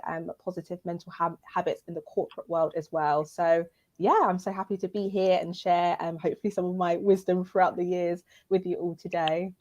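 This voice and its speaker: A woman's voice: on the low side, darkish tone, highs cut off. A woman life coach introducing herself enthusiastically on an online panel, with many ums.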